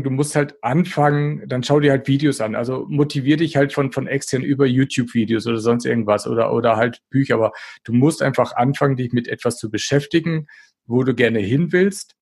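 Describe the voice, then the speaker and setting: A man's voice: medium pitch, darkish tone, husky. A man talking casually as a podcast guest, in a blunt, colloquial, motivational way. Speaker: podcast guest, diabetes expert. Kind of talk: casual conversational interview talk.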